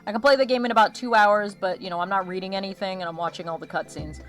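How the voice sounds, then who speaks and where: A woman's voice: mid-range voice, fast. A woman hosting a livestream in casual, rambling chat that often interrupts itself, with a slight lisp. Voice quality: slight lisp from a missing molar. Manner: rambling, chatty, self-interrupting. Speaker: streamer hosting an art livestream. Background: lo-fi music track.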